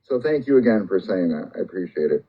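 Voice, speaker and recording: A man's voice: sits low, animated, quick pace, breathy edge. A man hosting a casual live stream, talking emphatically and defensively and often repeating himself. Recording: dull lo-fi stream audio.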